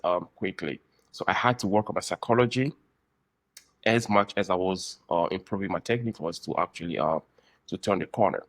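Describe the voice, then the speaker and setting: A man hosting a casual podcast interview, speaking in halting, deliberate phrases. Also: fairly low pitch, no echo. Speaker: podcast host, trader.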